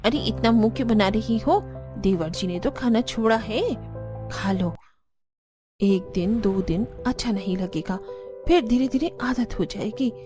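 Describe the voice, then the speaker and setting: A bossy elder sister-in-law from a family cartoon, voiced by a woman. Her pitch is high and she sounds resigned to the household's old rules. Light music plays beneath. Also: measured pace, natural voice. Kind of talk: cartoon story dialogue.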